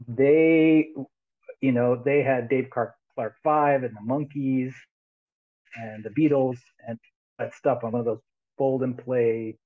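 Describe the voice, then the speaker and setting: A man's voice: medium pitch, steady tone, slow pace, pauses often. Sixty-year-old man over a tinny line. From United States. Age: 60s. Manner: rambling, laughs often, many restarts. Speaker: guest musician. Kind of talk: casual interview over video call. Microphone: headphones on a video call.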